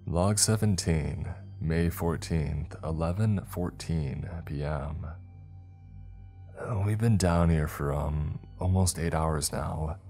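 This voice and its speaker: A man voicing a weary, trapped man who records a grim log in a slow, halting, subdued way for a horror story. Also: extremely low pitch, dark tone, soft background music, full character voice.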